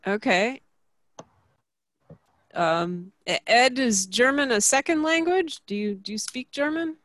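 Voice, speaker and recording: A woman leading a group video call, asking people questions and pausing with many fillers. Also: on the high side, expressive, fairly bright tone, no echo. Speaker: group facilitator.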